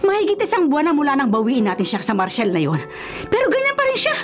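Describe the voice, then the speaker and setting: A woman's voice: high-pitched, wildly swooping pitch, fast, faint music behind. A woman playing a domineering, wealthy middle-aged mother in a Filipino radio drama, scolding and imperious in a melodramatic way. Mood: angry, insistent.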